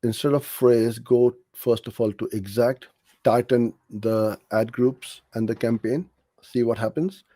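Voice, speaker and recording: Mellow-voiced man giving off-the-cuff advice. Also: medium pitch, steady tone, unhurried, dry recording. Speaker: digital marketing coach answering a question.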